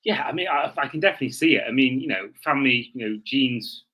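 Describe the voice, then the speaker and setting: A man's voice: medium pitch, expressive, fast talker, husky, dull audio. A man from Wales, talking casually as a podcast host.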